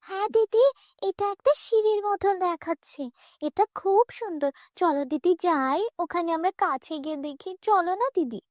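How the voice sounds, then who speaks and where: A woman's voice: very high-pitched, normal speed, a little mellow, no room sound, studio quality. A woman voicing an eager, pleading little orphan girl, the younger sister in a children's fairy-tale cartoon. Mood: excited.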